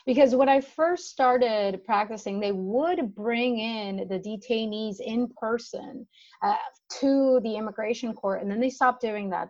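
Immigration lawyer woman, muffled audio. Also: medium pitch. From Dominican Republic. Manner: thoughtful, with frequent restarts. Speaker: immigration attorney. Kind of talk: conversational chat.

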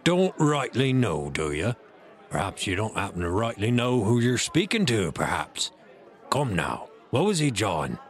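A man's voice: on the low side, sing-song, measured pace, a faint crowd. A man voicing a hearty, emphatic one-legged seafaring innkeeper with old-time sailor's talk in an audiobook. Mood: scornful, bullying. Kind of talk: audiobook character acting.

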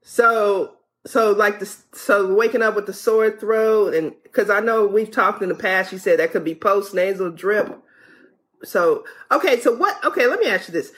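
A woman's voice: mid-range voice, a bit bright. A woman podiatrist, a guest on a radio call-in show, chatting and asking questions with frequent restarts.

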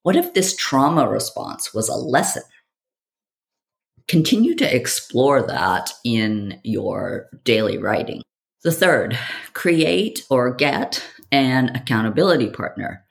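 Creaky-voiced podcast host with swooping intonation. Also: mid-range voice, sing-song, slow pace. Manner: theatrical, rhetorical, addresses listener directly. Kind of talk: scripted podcast monologue with sales pitch.

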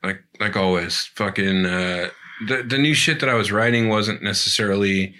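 A middle-aged punk band member in casual chat, rambling and swearing with lots of fillers. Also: male voice, fairly low pitch, normal speed, bright, forceful tone.

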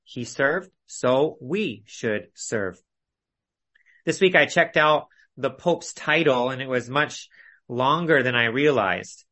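Male preacher, bright forceful voice, mid pitch, didactic, measured phrasing, repetition for emphasis. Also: lively intonation, low-quality recording. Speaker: preacher leading a Bible study. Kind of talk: expository sermon with illustrations.

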